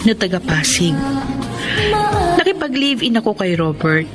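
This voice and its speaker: Radio drama lead: emotional young woman pining for her boyfriend, mid-pitched, under loud music. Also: sing-song, measured pace. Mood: confiding. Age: young adult. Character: lovesick young woman student missing her faraway boyfriend. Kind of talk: Tagalog radio drama acting.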